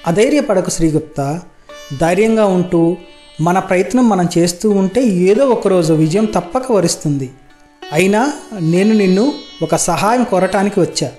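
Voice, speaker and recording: A man playing a gentle, honest merchant in an animated moral story, speaking earnestly and formally. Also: sits high, normal speed, faint music behind. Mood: encouraging.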